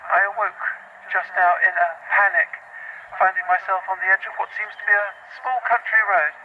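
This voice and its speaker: A performer playing a weary, defiant Victorian gentlewoman in an audio drama, speaking in composed, formal, old-fashioned phrases. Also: mid-range voice, steady tone, a little fast, lightly acted. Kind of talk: audio drama acting and recorded diary. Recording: thin phone-like sound, faint noise.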